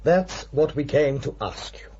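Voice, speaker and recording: An actor playing a polite police inspector in a radio drama, asking questions patiently with a husky voice. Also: male voice, a little high, expressive, unhurried, darkish tone. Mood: patient, firm. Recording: dull, muffled radio recording.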